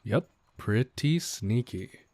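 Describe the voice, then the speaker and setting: A man's voice: medium pitch, expressive, slow, a little mellow, no room sound. A man playing a cocky but considerate bandit leader in an audio roleplay, talking to the listener in a casual, folksy, wryly mock-courteous way. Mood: wry, conspiratorial.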